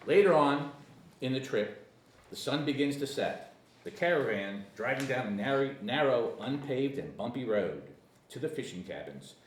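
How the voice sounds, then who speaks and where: A man narrates stage directions at a table read of a screenplay. His mid-pitched voice moves up and down a lot, picked up at some distance in a roomy space. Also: animated, normal speed. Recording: echoey room, distant mic, faint noise.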